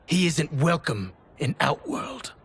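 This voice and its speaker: An actor playing a menacing traitor soldier in a video game, curt, threatening and scornful. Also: male voice, a little high, sing-song, slow, a bit bright, slight background noise, exaggerated voice. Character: menacing male traitor soldier, loyal henchman of usurper. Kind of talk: dramatic video game villain acting.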